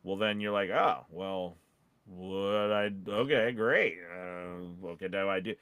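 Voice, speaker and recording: A man with a fairly low voice talks through business advice casually, as a podcast coach would. He is animated but halting, restarting and checking in with short questions. The sound is very dry, with no room echo. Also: smooth. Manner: animated, halting, often checks in with "right?" and "okay?". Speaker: podcast host and voice-over coach.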